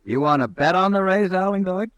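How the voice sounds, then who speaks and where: A cocky, taunting army lieutenant. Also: male voice, sits high, lively intonation, fairly quick, fairly bright tone, highs cut off. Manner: swaggering, mocking, laughs at others. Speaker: cavalry lieutenant. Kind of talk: 1950s radio drama acting.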